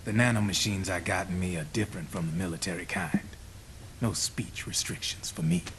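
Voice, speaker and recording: An actor playing a slick, cynical arms dealer in a game cutscene, explaining smugly in folksy slang. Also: male voice, low voice, a little fast, a bit bright, a little hiss or hum. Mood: matter-of-fact, cynical. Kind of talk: video game cutscene acting.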